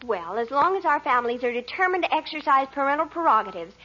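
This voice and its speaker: High-voiced, earnest teenage daughter. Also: female voice, big pitch swings, quick pace, sharp tone, exaggerated voice. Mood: indignant. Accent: American. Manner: earnest, dramatic. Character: earnest, dreamy, indignant lovestruck teenage daughter. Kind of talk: 1940s radio sitcom acting. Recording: muffled 1940s radio broadcast.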